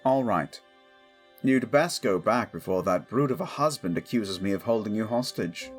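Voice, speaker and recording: A man's voice: fairly low pitch, lively intonation, breathy edge. A man playing a protective, stubborn young king in a romantic audio drama, formal, earnest and tender. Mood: tender, teasing. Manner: formal, measured, earnest phrasing. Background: background music.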